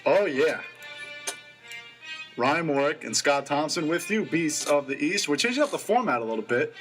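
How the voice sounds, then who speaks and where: A young man co-hosting a college sports radio show, reading off stats in casual, excitable talk. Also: a little high, soft background music. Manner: rattles off stats quickly, excitable.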